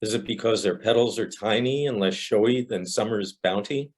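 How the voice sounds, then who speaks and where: An older man reciting a poem with deliberate, reflective phrasing. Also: fairly low pitch. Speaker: poet reading own poem. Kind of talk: poetry recitation.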